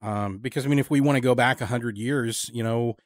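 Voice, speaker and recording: A man hosting a casual long-form interview, talking in a thoughtful, halting, discursive way. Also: medium pitch, no room sound, clean studio sound. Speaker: podcast interviewer.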